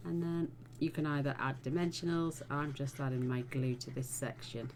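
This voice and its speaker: A woman hosting a casual card-making tutorial, chatting in a homely way as she works, her voice a little croaky. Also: very deep voice, steady tone, moderate pace, darkish tone, slight background noise. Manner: chatty, homely, talks while working. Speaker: card-making channel host.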